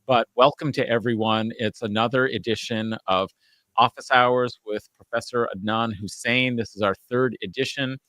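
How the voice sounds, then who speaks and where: A man's voice: medium pitch, fairly even intonation, normal speed, pushed and bright, no room sound, rough recording. A man hosting a live-streamed talk show, enthusiastic and a little rambling, with frequent fillers. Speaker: host.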